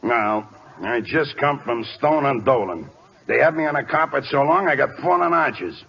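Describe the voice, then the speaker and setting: An actor playing a gruff, slangy, loyal American veteran ballplayer in a vintage radio drama. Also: male voice, medium pitch, animated, moderate pace. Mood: wry, coaxing. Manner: colloquial, streetwise slang. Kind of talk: 1940s-50s American radio acting. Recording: muffled old radio broadcast recording.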